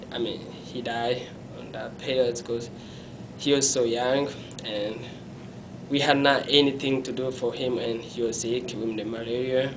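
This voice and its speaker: A young man, telling his life story in an interview in a small room, halting and often correcting himself. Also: mid-range voice, gravelly, background noise. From Congo. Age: young adult. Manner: halting, self-correcting, non-native phrasing; gestures with his hands while talking.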